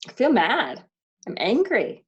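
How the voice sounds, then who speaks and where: Frustrated mother, candid and halting. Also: on the high side, huge pitch swings, breathy edge, muffled recording. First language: not German. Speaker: parent doing the worksheet. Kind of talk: reflective self-inquiry dialogue.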